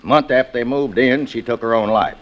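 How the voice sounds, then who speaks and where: A man's voice: medium pitch, brisk, natural voice. A man playing a skeptical, matter-of-fact American real estate agent in a 1940s radio drama.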